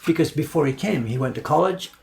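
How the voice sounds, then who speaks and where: Older male craftsman, gravelly mid-pitched voice, chatty live-stream talk, faint hiss behind. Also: lively intonation, quick pace. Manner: chatty, answers viewer questions while printing. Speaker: woodblock printmaker streaming his work. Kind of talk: casual live-stream chat while working.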